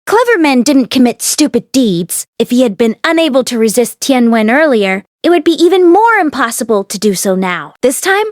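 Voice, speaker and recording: A woman reading a novel aloud as an expressive audiobook narrator. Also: a little high, no echo, clean studio sound. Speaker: audiobook read-along narrator.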